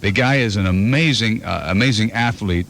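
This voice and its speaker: A man hosting a morning radio show, joking and telling stories in quick banter. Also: lowish voice, vocal fry, a bit bright. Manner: storytelling, playful, slips into voices. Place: radio studio. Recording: 1991 FM radio aircheck.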